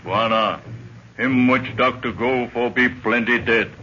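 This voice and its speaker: An actor playing a loyal, stolid African guide in a 1930s radio drama, speaking in broken pidgin phrases. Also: male voice, mid-range voice, steady tone, measured pace, sharp tone. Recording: noisy, muffled old 1930s radio recording.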